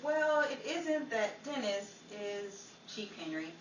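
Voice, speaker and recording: Man playing helpful, easygoing pal for retro radio comedy; animated pitch, noisy recording. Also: slow, recorded from a distance, full character voice. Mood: amiable, wry. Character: easygoing, helpful friend in a 1950s Hollywood circle. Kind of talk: old-time radio comedy acting. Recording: echoey, noisy, off-mic with crowd sound.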